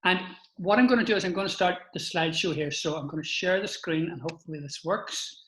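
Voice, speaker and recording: A man's voice: on the high side, fast, sharp tone, reverberant, rough recording. Older Northern Irish man, chatty lecturer. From Northern Ireland. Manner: chatty, with frequent restarts and asides to the audience. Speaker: speaker giving a talk. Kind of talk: informal lecture with slideshow.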